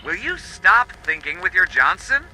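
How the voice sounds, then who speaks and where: An exasperated, excitable male recon team member, acted by a man in an audio drama. His voice is high and sharp, swinging wildly, with music behind it. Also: moderate pace, exaggerated voice, rough recording. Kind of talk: dramatised audiobook radio dialogue.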